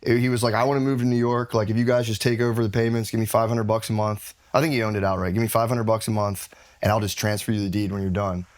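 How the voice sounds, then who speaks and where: A man's voice: lowish voice, level tone, fast talker, a little mellow. An American man chatting casually as a podcast guest, telling rambling, slangy anecdotes. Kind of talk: casual chat, anecdotal storytelling.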